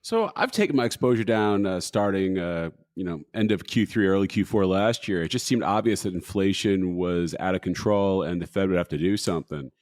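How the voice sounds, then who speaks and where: A man with a fairly low voice talks about markets as a podcast guest, easygoing and laughing between his points. His speech is loose and full of fillers. The sound is dry and close to the mic. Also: lively intonation, brisk, hardly any pauses, darkish tone, no room sound. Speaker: podcast guest, hedge fund manager. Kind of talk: casual market chat.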